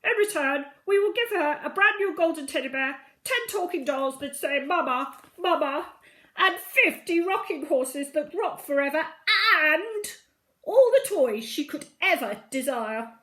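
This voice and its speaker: A woman's voice: high voice, lively intonation, a little slow, pushed and bright, pitched above the performer's own voice, full character voice. A woman voicing a pompous, formal Air Force wing commander who declaims demands in a children's storybook. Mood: pompous, insistent. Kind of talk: children's storybook read-aloud.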